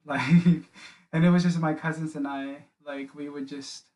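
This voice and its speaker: A young man from the Philippines talks in a small home podcast studio, close on a boom mic. His voice sits a little high and stays flat. He wanders through his points with a lot of filler words. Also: unhurried. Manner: rambling, many filler likes and restarts. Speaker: podcast guest. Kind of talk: casual interview chat. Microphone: studio mic on boom arm, wearing headphones.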